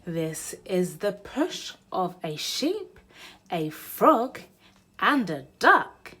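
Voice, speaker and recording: Woman reading a picture book to little kids, really slow, sing-song and super animated. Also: mid-range voice, husky. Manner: sing-song, expressive, addressing young children. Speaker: mother and presenter of a children's read-aloud channel. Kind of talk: animated children's storybook read-aloud.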